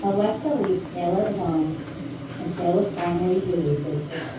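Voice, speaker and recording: A woman narrates stage directions from a script at a middle pitch. She sounds distant, in a very echoey room with loud noise. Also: moderate pace. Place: rehearsal room.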